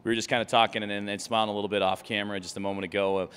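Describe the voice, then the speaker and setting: A man's voice: lowish voice, level tone, racing, fairly bright tone, clear, resonant tone. A man interviewing a coach after a hockey game, asking long, upbeat questions packed with stats and player names. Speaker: team broadcaster interviewer. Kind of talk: sports postgame interview.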